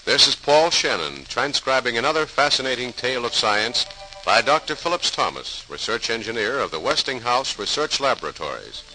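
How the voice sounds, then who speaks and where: A man's voice: medium pitch, sing-song, moderate pace, bright, forceful tone, soft background music, rough recording. A man narrating a scripted 1940s radio program in a formal, dramatic announcer style. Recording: hissy 1940s radio recording.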